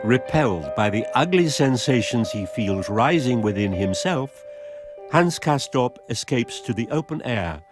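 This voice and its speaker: A man's voice: medium pitch, animated, measured pace. A man delivering scripted dramatic narration as the narrator of a radio play. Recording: loud piano music behind.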